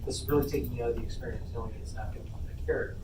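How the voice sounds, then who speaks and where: Quick-talking man, distant and echoey. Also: medium pitch, steady tone. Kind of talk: casual interjections. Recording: distant roomy mic with clear background noise.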